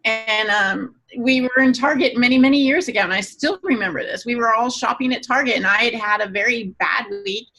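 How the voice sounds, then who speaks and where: A woman's voice: fairly high pitch, brisk, few pauses, bright, forceful tone, faint music behind, rough recording. A woman co-hosting a podcast, chatting casually and playfully as she tells personal anecdotes. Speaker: podcast co-host.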